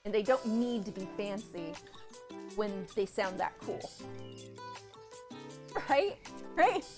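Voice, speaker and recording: A woman's voice: mid-range voice, sing-song, takes it slowly, music in the background. A woman co-hosting a cooking stream from her home kitchen, chatting in a playful, rambling way. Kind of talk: casual chat while cooking.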